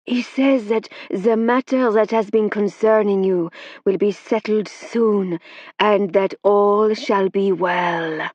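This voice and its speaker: A woman voicing a foreign male spirit guide speaking through a medium in a deep, growly, foreign-sounding voice, slow and solemn, on a dry, slightly dull recording. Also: darkish tone, no room sound.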